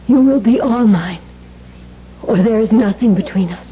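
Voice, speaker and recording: An actress playing a possessive, ruthless ghostly mistress, commanding and intense in a 1970s radio drama. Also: female voice, on the high side, normal speed, natural voice. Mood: cold, demanding. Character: possessive, ruthless spectral mistress demanding to be bride. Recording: dull, noisy vintage radio recording.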